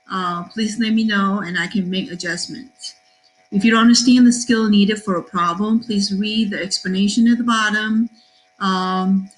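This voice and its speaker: Brief: middle-aged woman teacher from Taiwan, upbeat, filler-heavy, mid-pitched voice. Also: rough recording. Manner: cheerful, with many fillers and pauses. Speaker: math teacher. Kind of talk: class introduction talk.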